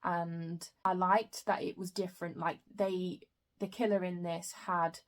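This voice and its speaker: A young woman chatting casually about her reading in a book vlog, her throat a bit croaky, with frequent soft fillers. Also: lowish voice, level tone, a little slow. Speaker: book vlogger.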